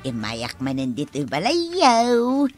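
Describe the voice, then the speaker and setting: A woman playing a scrounging, coaxing village auntie in an Ilocano radio comedy drama, full of exclamations and flattery. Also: low voice, takes it slowly, soft background music, full character voice. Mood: wheedling, grateful.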